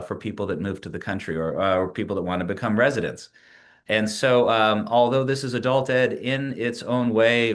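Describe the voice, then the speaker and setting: A man in his late forties presents his project over a shared screen at a mid pitch. He talks in a measured way, pausing often with filler sounds and restarting words, like a student presenting to a seminar. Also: a bit bright. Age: 40s.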